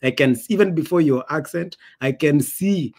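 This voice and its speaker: A man's voice: on the high side, moderate pace, darkish tone. A man from Kenya with a Kenyan accent, hosting a podcast in a casual, emphatic way and acting out quoted dialogue. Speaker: podcast host. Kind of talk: casual conversational storytelling.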